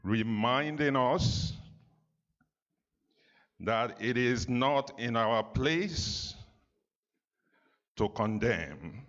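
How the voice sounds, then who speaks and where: A man leading a closing prayer in solemn, halting phrases. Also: medium pitch, lively intonation, a bit bright. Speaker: congregational prayer leader. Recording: dull, muffled sound.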